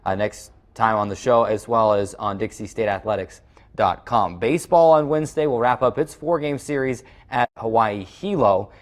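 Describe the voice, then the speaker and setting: A man's voice: on the low side, normal speed. A man hosting a college sports show, steadily reading the week's schedule with occasional fillers. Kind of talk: scripted sports schedule recap.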